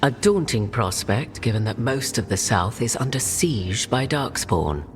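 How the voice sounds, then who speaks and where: A woman's voice: a bass voice, expressive, unhurried, soft background music. An actress playing a haughty, enigmatic witch in a video game, with formal, arch and theatrical diction. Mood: grave. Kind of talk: video game cutscene acting.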